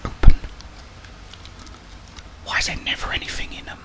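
A middle-aged British man giving whispered ASMR commentary on a video game, muttering his thoughts aloud. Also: moderate pace. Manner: muttering to himself, thinking aloud. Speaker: gaming streamer.

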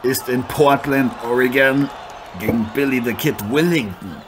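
A man playing a proud, cocky heavyweight boxing champion in an improvised radio-play interview, halting and self-important. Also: medium pitch, a crowd in the background, lightly acted. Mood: boastful. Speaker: guest athlete being interviewed. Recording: noisy, with arena ambience behind.

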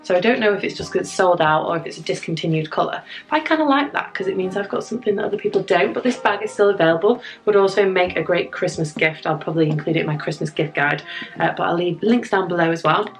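A young woman vlogger with a husky voice from a cold, chatting upbeat to viewers while unboxing a handbag in a room at home. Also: on the low side, quick pace, rough recording. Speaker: fashion vlogger. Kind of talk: chatty product unboxing review. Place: home room with framed prints, indoors.

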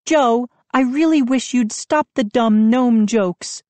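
A woman's voice: high voice, measured pace, no room sound, pitched above the performer's own voice. A woman voicing an impatient, busy mother who speaks sharply.

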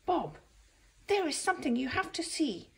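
A woman's voice: sits high, big pitch swings, moderate pace, creaky, pitched above the performer's own voice, exaggerated voice. A woman voicing anxious, urgent little birds in a picture book.

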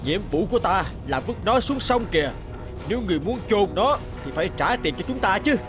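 A mean, greedy cartoon thug, played by a man, taunting in a raised, lively voice. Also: high voice, brisk, over music. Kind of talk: cartoon villain acting.

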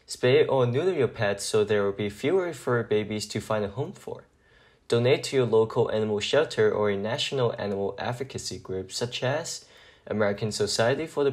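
A man reading aloud for children in an expressive storytelling style. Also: fairly low pitch. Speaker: storybook reader.

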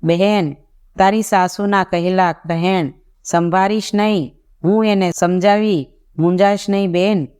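A narrator voicing a saintly royal brother in a folk tale, caring and gently commanding, at times reciting verse. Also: mid-range voice, steady tone, unhurried, clear, resonant tone, lightly acted. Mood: probing, firm.

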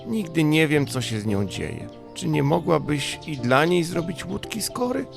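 A man acts out a storybook older sister creature with a raised pitch. She is solemn, bossy and given to fretful complaint. Music runs behind the voice. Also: big pitch swings, normal speed, creaky. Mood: complaining. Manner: earnest, complaining.

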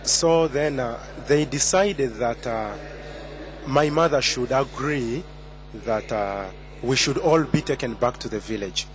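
A man's voice: medium pitch, slow pace, slight background noise. A man in his twenties from Uganda giving a personal testimony at a conference, hesitant, with many fillers and restarts. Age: 20s. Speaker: conference speaker.

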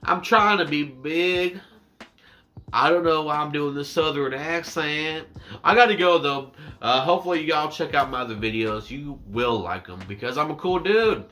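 A man's voice: on the high side, moderate pace, sharp tone. A man in his twenties chatting casually to camera as a reaction YouTuber, rambling and self-deprecating, stretching some words out. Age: 20s. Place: small indoor room with dark backdrop.

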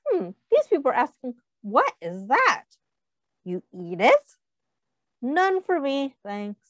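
A woman teacher reading a picture book aloud to children, pausing to ask them questions and putting on small voices for the characters, speaking into a webcam. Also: fairly high pitch, dry recording. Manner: animated, asks children questions, does little character voices.

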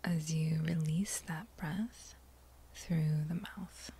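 A woman guiding a meditation in a breathy whisper, gentle and soothing, with long unhurried pauses between phrases. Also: low voice, fairly even intonation, a little hiss or hum. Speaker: meditation guide. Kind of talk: guided meditation, whispered soft-spoken.

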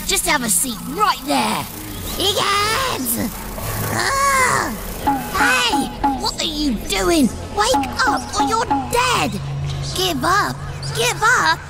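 A woman's voice: extremely high pitch, very animated, vocal fry, exaggerated voice. A woman voicing a tiny, boastful, bossy male elf as comic relief in a comic dub. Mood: bossy, frantic. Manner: dramatic, scolding, boastful, drawn-out words. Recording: loud music under the voice.

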